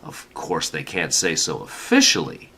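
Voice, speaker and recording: A man's voice: low voice, wildly swooping pitch, a little slow, gravelly, bright, forceful tone, breathy edge, full character voice. An actor playing a jovial, patronizing older male official who reassures in an emphatic, avuncular way, in a comedic radio play. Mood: confident, smug.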